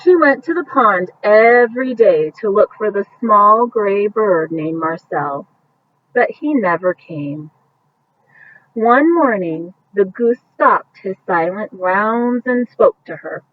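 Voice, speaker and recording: Lady reading a bedtime storybook slowly, gently explains the pictures, kinda muffled w/ a bit of noise. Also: mid-range voice, animated. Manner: gentle explaining, pointing out pictures as to young children. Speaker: storybook reader. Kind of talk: bedtime picture-book read-aloud with casual asides. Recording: muffled, distant mic with faint background noise.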